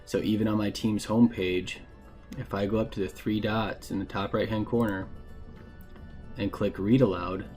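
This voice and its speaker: A man narrating a casual software tutorial in a plain, informal, explanatory way. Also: lowish voice, fairly even intonation, moderate pace, faint music behind. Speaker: instructor giving a software tutorial.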